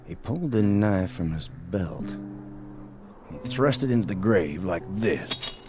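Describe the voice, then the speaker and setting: An actor in a radio drama playing an earnest frontier man who explains things deliberately, with dramatic pauses. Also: lowish voice, animated. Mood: grave, explaining. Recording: music bed under the dialogue.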